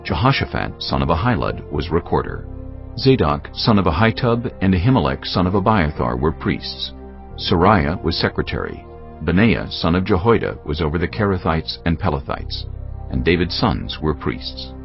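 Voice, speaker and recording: A man with an extremely deep, creaky voice narrates scripture. His delivery is solemn and deliberate yet moves widely in pitch, like a storyteller reading a script. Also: very animated. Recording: dull lo-fi audio over music.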